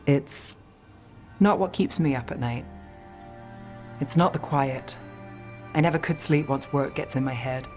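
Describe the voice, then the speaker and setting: Dry, world-weary night detective. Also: female voice, rumbling low, measured pace, long pauses, darkish tone, faint music behind. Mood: dry, wry. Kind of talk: video game character acting.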